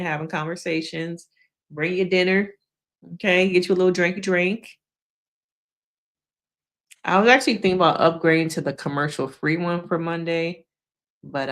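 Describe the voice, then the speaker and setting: A woman's voice: sits low, a bit bright. A woman hosting a casual live stream, chatting frankly with viewers, laughing often, and losing her voice.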